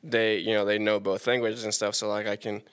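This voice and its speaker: A man's voice: lowish voice, brisk, clean tone. A young man whose first language is Spanish, chatting casually in long, loose sentences full of "like" and "I mean.". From Panama. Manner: relaxed, talks in long run-on sentences with frequent "like" and "I mean". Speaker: guest. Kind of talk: casual conversation.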